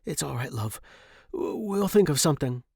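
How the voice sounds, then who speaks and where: A man voicing a flustered, earnest, kindly gentleman explorer in a storybook, exclaiming with old-fashioned politeness. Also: on the high side, lively intonation, moderate pace, gravelly, slightly rough, dry recording, clean studio sound, pitched above the performer's own voice, heavily acted. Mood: reassuring. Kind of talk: storybook character dialogue.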